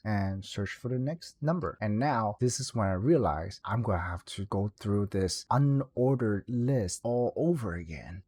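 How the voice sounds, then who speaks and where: A man's voice: medium pitch, mellow. A man narrating a casual on-screen walkthrough, explaining things step by step as a video creator. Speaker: video creator giving a game guide.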